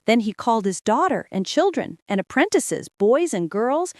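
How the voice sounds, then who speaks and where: Lady reading a bedtime fairy tale, high lively voice, super dry close mic. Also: no room sound. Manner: slow, expressive bedtime reading. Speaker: storyteller. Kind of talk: storybook read-aloud.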